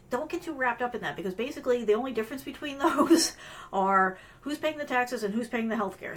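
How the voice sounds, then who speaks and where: A woman's voice: mid-range voice, a bit bright. Woman running a livestream, chatty and rambly, lots of ums and restarts. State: voice strained from allergies. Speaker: host of a live career webcast. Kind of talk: casual live-stream talk with screen sharing.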